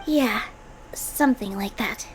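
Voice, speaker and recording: A woman's voice: fairly high pitch, slow, slight background noise, low-quality recording. An actress playing a composed, determined young woman in a video game. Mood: reassuring. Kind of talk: English game voice acting.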